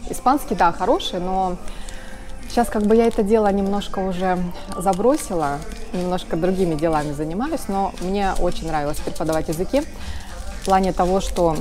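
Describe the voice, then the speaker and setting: A woman in her thirties from Russia talks casually in an interview, often stopping on filler sounds and correcting herself. Her voice sits a little low for a woman. Music plays clearly behind her in a small room, picked up by a shotgun mic beside her. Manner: rambling, many filler sounds, self-corrections. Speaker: guest, video blogger. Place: small indoor room with sofas. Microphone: camera-mounted shotgun mic beside her.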